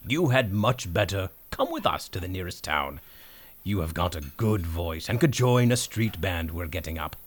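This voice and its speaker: A man voicing a big, practical, friendly donkey in a storybook tale, with drawn-out, deliberate speech. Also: low voice, big pitch swings, pitched below the performer's own voice. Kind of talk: storybook character voice.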